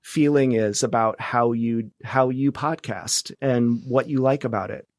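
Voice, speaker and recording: A man's voice: medium pitch, unhurried, no echo. Tentative man chatting, dry close sound. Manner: tentative phrasing, trails off and rephrases. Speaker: podcast guest, podcast producer. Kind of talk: casual conversational interview.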